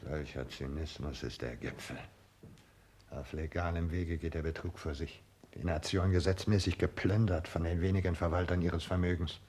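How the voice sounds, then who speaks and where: A man's voice: deep voice, fairly even intonation, normal speed, a little mellow, a little hiss or hum, close to an everyday voice. A man acting as an earnest idealist doctor working as a secretary, solemn and formal in a 1960s German radio play. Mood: grave indignation.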